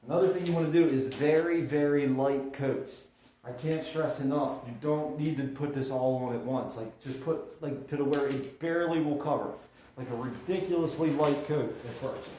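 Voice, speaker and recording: A man with a slight sniffle from a cold, talking in a soft-spoken, rambling, self-correcting way as he explains a paint job step by step in a house. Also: medium pitch, normal speed. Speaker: DIY restoration vlogger. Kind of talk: casual how-to narration while working. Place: living room of a house, working on a frame. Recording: echoey room, far from mic, noisy.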